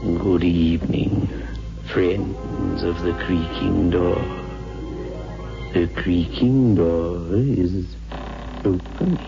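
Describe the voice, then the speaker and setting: A sinister, sardonic host of old radio horror stories. His voice is very low and gravelly, and he draws out each ominous, teasing line extremely slowly over music on a muffled vintage recording. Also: fairly even intonation, full character voice. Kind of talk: 1960s radio horror introduction.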